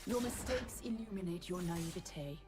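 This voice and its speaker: An actress voicing a cold, haughty, menacing aristocratic assassin in a video game, with clipped, disdainful, commanding delivery. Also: female voice, lowish voice, moderate pace, a little mellow, soft background music, close to an everyday voice. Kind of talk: video game character voice lines.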